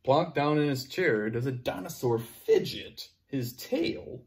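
A young man teaching young children, playful and animated, cheering them on and making sound effects while he reads a picture book aloud in a classroom. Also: medium pitch, slow. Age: young adult. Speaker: preschool teacher. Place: classroom with word cards on a board.

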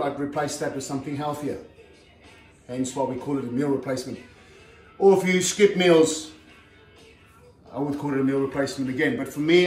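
Middle-aged man, ex-fighter, plain-spoken home cooking vlog; slightly high voice, captured from afar in a reverberant kitchen. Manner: chatty, plain-spoken, lots of fillers and "okay". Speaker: former professional heavyweight fighter giving cooking tips. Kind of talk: casual cooking demonstration. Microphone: far camera on the counter. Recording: roomy kitchen sound from a distance, faint music.

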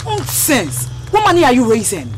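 An actress with a Ghanaian accent playing a feisty, outspoken friend of a blackmail victim in a screen melodrama. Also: female voice, high voice, huge pitch swings, moderate pace, faint music behind. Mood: indignant. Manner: outspoken, scolding, emphatic. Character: feisty, indignant woman defending her blackmailed friend.

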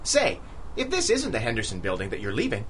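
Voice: medium pitch, wildly swooping pitch, a little fast, a bit bright, slight background noise. An actor playing a polite, inquisitive, folksy passerby in a 1930s-style radio drama. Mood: puzzled, polite. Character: lost, polite, folksy passerby, older than youth.

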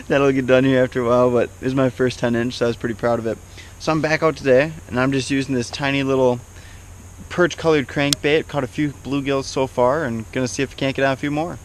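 A young man talking casually and unscripted to a camera on his kayak while fishing outdoors. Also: mid-range voice. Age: young adult. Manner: informal, unscripted. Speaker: fishing vlogger. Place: outdoors on a kayak on a small lake. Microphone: action camera mounted on the kayak, a step or two away. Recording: loud background noise, outdoor action camera.

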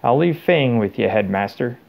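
A man voicing a huge, loyal, hot-tempered groundskeeper in an audiobook, speaking in a blunt, rustic way with rough grammar. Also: mid-range voice, animated, moderate pace, darkish tone. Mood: grudging.

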